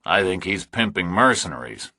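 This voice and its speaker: Man playing a low, dry, laconic investigator. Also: sing-song, moderate pace, sharp tone, breathy edge, no room sound, full character voice. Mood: cool, blunt. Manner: dry, probing, blunt. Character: shrewd, laconic male investigator, ex-military, unimpressed.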